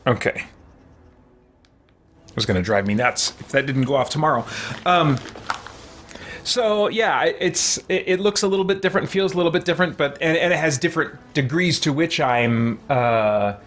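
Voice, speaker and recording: A man's voice: a little high, expressive, normal speed, fairly bright tone, slight background noise. A man hosting a game let's-play, chatting casually and reflectively to viewers, thinking aloud in hesitant, looping, qualified sentences. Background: background music.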